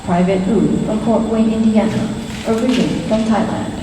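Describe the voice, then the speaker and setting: A woman announcing at a formal ceremony, reading names and hometowns slowly and deliberately over a public address system. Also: medium pitch, steady tone, very noisy. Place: large hall at a ceremony. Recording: distant PA voice in an echoey hall with a loud crowd.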